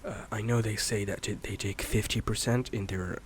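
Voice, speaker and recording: A man in his late twenties, a streamer rambling in a soft-spoken, candid way, swearing casually and slipping into whispers. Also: lowish voice, fairly even intonation, normal speed, a little hiss or hum. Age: 20s. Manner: candid, swears casually, many fillers and restarts; drifts between soft speech and whisper.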